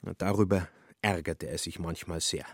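A man narrating a literary radio drama in a measured, wry storytelling manner. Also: low voice, steady tone, husky, no room sound, studio quality.

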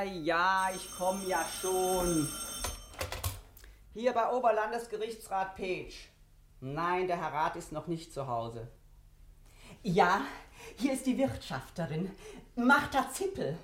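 A woman's voice: medium pitch, lively intonation, takes it slowly. A woman playing a gossipy, suspicious, devoted middle-aged housekeeper in a fussy, chatty, self-important manner, in 1960 German radio comedy style. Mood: harried, grumbling.

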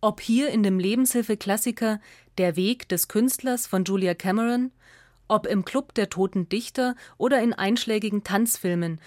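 A woman from narrates a scripted radio essay in a measured, clearly articulated way. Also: mid-range voice, darkish tone, dry recording, clean studio sound.

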